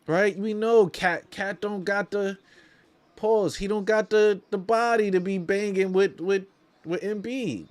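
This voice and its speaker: A man's voice: high voice, measured pace. A man hosting a watch-along, calling basketball live as a partisan fan in slangy talk, often repeating phrases for emphasis.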